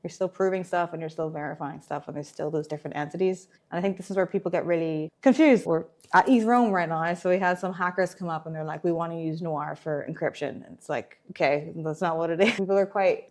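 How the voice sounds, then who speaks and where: Low-voiced Irish woman, enthusiastic. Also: a little mellow. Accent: Irish with some American. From Ireland. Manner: enthusiastic, quick to laugh. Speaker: developer relations engineer. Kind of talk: conference interview. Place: conference venue.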